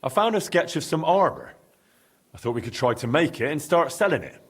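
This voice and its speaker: A man's voice: fairly high pitch, very animated, a little fast, breathy edge, exaggerated voice. A man playing an earnest, polite young medieval master blacksmith in a video game. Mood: friendly, businesslike. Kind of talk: naturalistic game dialogue.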